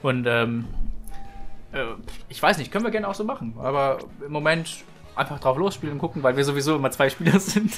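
A man's voice: on the high side, animated, brisk, a bit bright, faint music behind. A man chatting casually while playing a video game, rambling and self-mocking with frequent laughs. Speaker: let's play co-host. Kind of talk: casual gaming chat.

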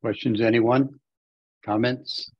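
A man's voice: medium pitch, fairly even intonation, slow, husky, dry recording, lo-fi sound. A man hosting a club meeting, prompting briefly for questions. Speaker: meeting host.

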